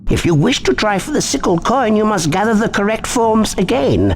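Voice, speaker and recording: High-voiced officious goblin clerk. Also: male voice, big pitch swings, moderate pace, over music. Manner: formal, officious. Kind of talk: video game character acting.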